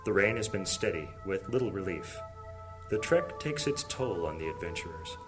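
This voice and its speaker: A man's voice: low-pitched, lively intonation, normal speed. A man reading scripted narration for a fantasy audio drama. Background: music.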